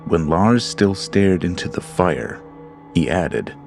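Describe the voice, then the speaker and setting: A man with a very deep, gravelly voice reads a horror tale at a slow, deliberate pace. His measured, ominous delivery sits over a faint bed of creepy music. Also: animated, darkish tone. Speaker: narrator. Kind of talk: scripted horror narration.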